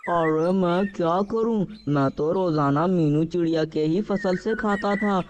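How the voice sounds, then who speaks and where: High-pitched scheming cartoon crow, played by a man. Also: a little slow, slight background noise. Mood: worried. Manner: exclamatory, self-talking, repeats words. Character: lazy, greedy, scheming male crow. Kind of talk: children's cartoon story dialogue.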